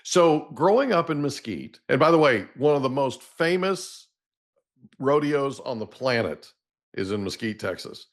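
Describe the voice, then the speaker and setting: A middle-aged American man speaks as a podcast host at a medium pitch. His delivery is informal and folksy, rambling easily from questions into anecdotes in casual conversational language. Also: very animated, unhurried. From Oklahoma, United States. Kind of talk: casual interview chat.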